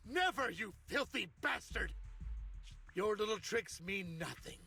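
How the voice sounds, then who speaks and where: Arrogant villain uncle for a game: high, gravelly, outraged snarl. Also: male voice, lively intonation, measured pace, pushed and bright, faint music behind, exaggerated voice. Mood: scornful. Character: raging, arrogant villainous uncle, head of a powerful family. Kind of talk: video game voice acting.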